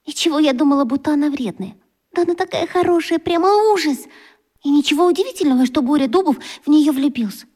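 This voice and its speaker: A woman acting a warm-hearted, impulsive schoolgirl in a children's radio play, talking in an emphatic, colloquial way. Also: sits high, fast talker, natural voice. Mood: rueful, amazed.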